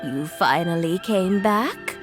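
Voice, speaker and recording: A woman voicing a gentle, wistful elderly grandmother in a video game. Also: on the low side, lively intonation. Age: older. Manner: slow, wistful, reminiscing. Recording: faint music behind.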